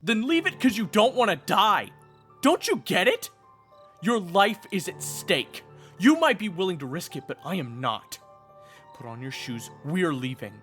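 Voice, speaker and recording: An actor playing an earnest, urgent teenage knight in an audio roleplay drama, protective and stubborn, with dramatic, emphatic delivery. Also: male voice, sits high, sing-song, a little slow, fairly bright tone, faint music behind, full character voice. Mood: urgent, desperate. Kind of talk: audio roleplay drama with first-person narration.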